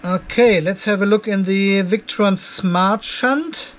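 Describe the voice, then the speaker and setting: A man's voice: high-pitched, slow. An older man gives casual, chatty technical commentary for a video, explaining things informally step by step. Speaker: host of off-grid DIY channel. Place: cluttered garage workshop, indoors.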